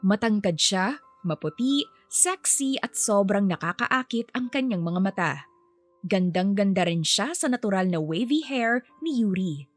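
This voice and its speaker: A woman's voice: mid-range voice, animated, measured pace, no echo. A woman storyteller reading scripted fiction narration. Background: music.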